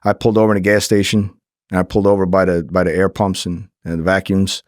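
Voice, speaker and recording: A man's voice: deep voice, little pitch movement, fast talker, no echo, clean studio sound. A middle-aged man telling anecdotes casually as a podcast guest, acting out the dialogue and swearing freely. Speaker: podcast guest, former undercover agent.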